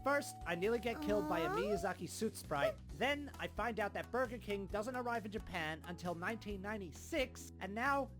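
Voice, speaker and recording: A woman voicing a sarcastic, exasperated, deadpan girl in a fan parody anime dub. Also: mid-range voice, brisk, hardly any pauses, close to an everyday voice. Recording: music behind the voice.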